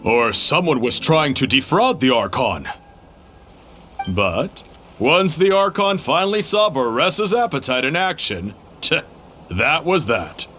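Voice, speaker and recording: A man's voice: medium pitch, big pitch swings, takes it slowly, bright, forceful tone, soft background music, exaggerated voice. An actor playing a friendly uncle innkeeper who hosts an eating contest, chatty and chuckling as he explains. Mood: cheerful. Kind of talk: game cutscene voice acting.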